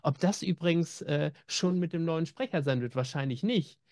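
A man's voice: a little high, moderate pace, darkish tone, no echo, studio quality. A man in his forties co-hosting a casual chat, presenting new releases. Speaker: co-host of an audio drama news show. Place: home room with packed shelves, on a video call.

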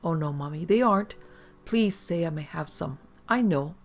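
Storybook child role, played by a woman: gentle but fretful little girl, imploring, slightly creaky voice. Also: low-pitched, expressive, normal speed, dull audio. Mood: coaxing. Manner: querulous, imploring.

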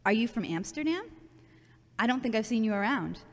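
Actress doing flirty forward woman in audio drama, very lively pitch, echoey. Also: brisk. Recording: long echoey reverb.

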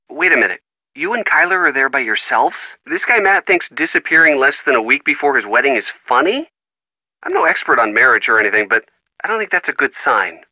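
An actor playing an affable, wry young American boyfriend in a video game, with a conversational delivery. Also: male voice, medium pitch, no room sound, dull audio. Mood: concerned, incredulous. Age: young adult. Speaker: boyfriend on the phone.

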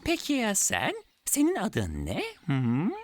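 A woman's voice: lowish voice, wildly swooping pitch, takes it slowly, exaggerated voice. A woman playing a sly cartoon talent show registrar, teasing and theatrical, who draws out words to stir up rivalry. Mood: sly, coaxing. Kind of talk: cartoon character acting. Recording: music bed behind voice.

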